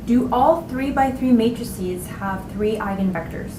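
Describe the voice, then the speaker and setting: Woman moderator, polite scripted delivery, roomy echo with rumble behind. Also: unhurried. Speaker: webinar moderator. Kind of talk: reading audience questions and closing remarks. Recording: echoey room with rumble.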